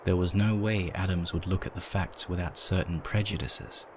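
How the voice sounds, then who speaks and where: A man's voice: low-pitched, steady tone, normal speed, fairly bright tone, slight background noise. A man narrating a scripted first-person story as a dramatic reading.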